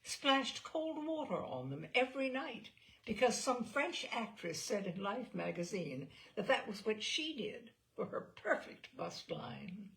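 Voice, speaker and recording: A woman giving an expressive, wry dramatic reading of a comic personal essay, with deliberate pauses and emphasis. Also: a little high, vocal fry, rough recording. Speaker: reader of humorous essays.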